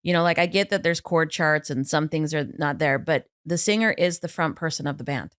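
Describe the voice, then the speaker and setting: A woman vocal coach, a guest on a podcast, telling stories in a lively, chatty way with plenty of fillers. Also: low-pitched, brisk, clear, resonant tone, dry recording, studio quality. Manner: animated, chatty storytelling with many fillers. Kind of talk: casual podcast interview.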